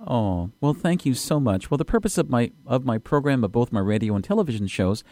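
A man hosting a radio interview, halting, with many restarts and fillers. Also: medium pitch, lively intonation, warm tone, no echo, clean studio sound. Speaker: radio host.

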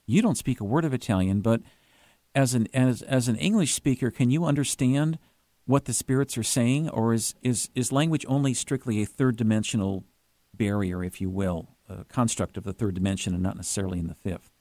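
A man hosting a radio interview, asking earnest, gently probing questions. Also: mid-range voice, fairly quick, dry recording. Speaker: radio host.

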